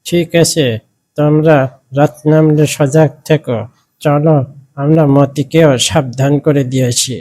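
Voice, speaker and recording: A man playing a fair-minded, cautious village leader in a cartoon, with a measured, admonishing manner. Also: on the high side, little pitch movement, warm tone, lightly acted. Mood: measured, cautioning. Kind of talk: cartoon character acting.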